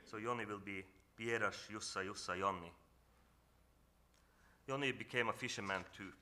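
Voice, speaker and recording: Halting low-voiced Norwegian man. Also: slight background noise. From northern Norway. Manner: halting, searching for words, calm and earnest. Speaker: panel speaker. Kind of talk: reflective presentation at a symposium.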